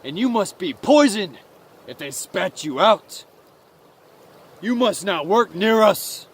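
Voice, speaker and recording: A man voicing a stern, dismissive leader of mice in a story, deliberate and scolding. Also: sits high, expressive, breathy edge, exaggerated voice. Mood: stern, rejecting. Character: stern, dismissive lead mouse shunning an outcast.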